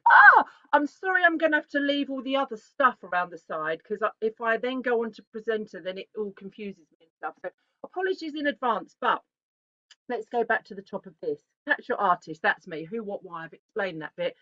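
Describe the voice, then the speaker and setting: A woman, giving a chatty, animated presentation that often breaks off and restarts itself. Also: on the high side, fairly quick, no room sound. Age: 40s. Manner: chatty, animated, self-interrupting. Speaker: artist giving a talk. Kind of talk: casual conference presentation. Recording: dull, lo-fi video call audio.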